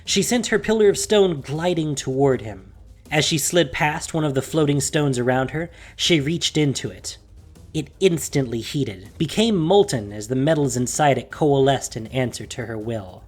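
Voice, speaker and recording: Audio drama narrator: husky, lively intonation, measured dramatic delivery, faint music underneath. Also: medium pitch. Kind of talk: scripted dramatic story narration.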